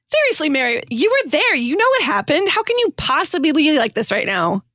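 A woman's voice: sits high, big pitch swings, a little fast, fairly bright tone, no room sound, studio quality. A woman acting a despairing, guilt-ridden male disciple in a radio sitcom, dramatic and slangy. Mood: incredulous. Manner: dramatic, self-reproaching, casual modern slang.

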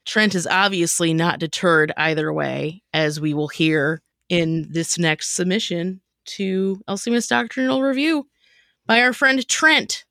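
A woman's voice: on the low side, unhurried, a bit bright, dry recording, studio quality. A woman hosting a podcast in a casual, jokey chatting style with theatrical emphasis. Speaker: podcast host. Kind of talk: casual chat, explaining a comedy segment.

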